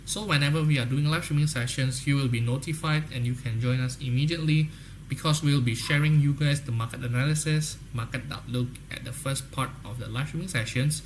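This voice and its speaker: A man's voice: mid-range voice, fairly even intonation, a little fast, a little hiss or hum. A young man from Indonesia with an Indonesian accent, hosting a live trading stream in casual, salesy chat and often tagging "guys, okay?". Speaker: trading livestream host. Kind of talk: casual livestream chat with viewers.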